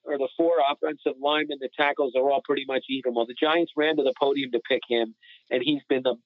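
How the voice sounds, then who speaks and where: A man's voice: medium pitch, steady tone, fast talker, no echo. A man giving emphatic, opinionated analysis in a casual sports podcast discussion. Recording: phone-like narrow-band sound.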